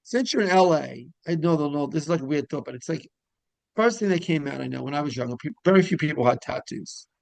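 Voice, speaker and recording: A man's voice: fairly high pitch, animated, fast talker, vocal fry, dry recording. A man hosting a casual interview, talking in a rambling, repetitive way. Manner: rambling, repeats phrases, griping about pet peeves. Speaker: interview show host.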